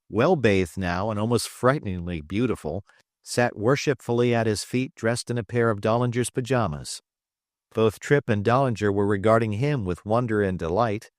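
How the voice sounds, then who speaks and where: A man reading fiction aloud as an audiobook narrator, in an expressive, theatrical storytelling manner. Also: lowish voice, no room sound, studio quality.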